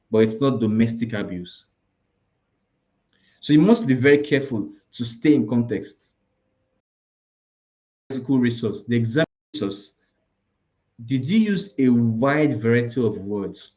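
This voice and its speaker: A man's voice: medium pitch, a little mellow, dull audio. A man with a Nigerian accent, teaching an IELTS preparation class live, explaining scoring and listing word alternatives with frequent restarts. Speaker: IELTS tutor.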